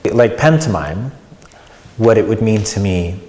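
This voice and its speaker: Deep-voiced American man in a reverberant hall. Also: expressive, measured pace, warm tone. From United States. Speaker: community theatre participant. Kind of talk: reflective interview talk.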